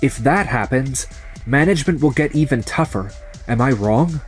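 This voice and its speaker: A man playing a calm, resolute young surgeon turned farmer in a manga dub, speaking in a measured, firm way. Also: mid-range voice, faint music behind, natural voice. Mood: firm, accusing.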